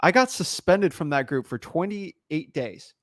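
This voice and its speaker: Young man, high voice, energetic, animated storyteller, dry close sound. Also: a little slow, no room sound. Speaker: podcast host. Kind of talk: casual chat.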